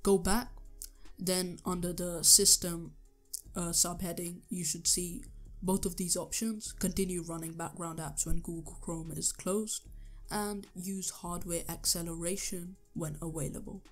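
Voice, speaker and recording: A young man with a sore, hoarse throat, talking through a computer tutorial step by step, with the odd um. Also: high voice, flat delivery, unhurried, soft background music. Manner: earnest step-by-step instructions with ums. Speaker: tech tutorial youtuber. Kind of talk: screen-recorded tutorial voice-over.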